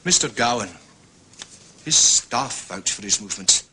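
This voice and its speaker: An actor playing a methodical, proud Scottish police inspector in a period detective drama, speaking precisely and courteously with a Scottish accent. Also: male voice, mid-range voice, lively intonation, unhurried, pushed and bright. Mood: brisk, commanding.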